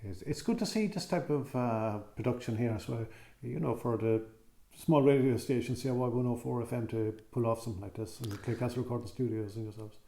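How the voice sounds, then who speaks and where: A man's voice: mid-range voice, brisk, vocal fry, dark tone, reverberant. A man interviewing actors, asking questions with many stumbles and restarts. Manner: stammering, repeated restarts.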